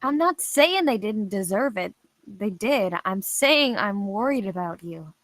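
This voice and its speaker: Actress as a concerned, steadfast woman cop, medium pitch, dry drama table read. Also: animated, a little slow, fairly bright tone, no room sound, poor audio. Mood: earnest, firm. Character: steady, worried female police officer, loyal friend.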